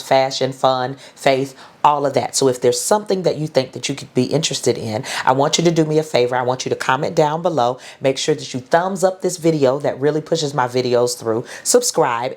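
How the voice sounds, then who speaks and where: A woman's voice: a bass voice, darkish tone. A middle-aged woman with a stuffy, congested nose, chatting through a fragrance haul review with lots of ums and restarts. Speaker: beauty and fragrance vlogger. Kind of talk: casual haul review to camera.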